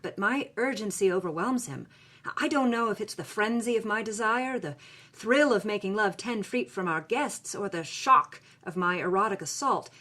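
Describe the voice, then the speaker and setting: A woman's voice: medium pitch, fairly bright tone. A woman voicing a passionate, sensual young film star, reading a journal with lingering, dramatic phrasing. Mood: yearning, passionate.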